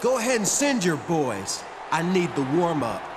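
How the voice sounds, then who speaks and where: A man's voice: a little high, wildly swooping pitch, normal speed. A man playing a cocky, defiant pro wrestler in a video game, talking in a mocking way. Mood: mocking defiance. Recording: noisy ambience behind the voice.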